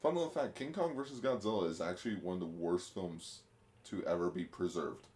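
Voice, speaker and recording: A man's voice: sits low, brisk, darkish tone. A man co-hosting a podcast, chatting casually and rambling about old films. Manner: chatty, rambling, uses fillers. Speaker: podcast co-host.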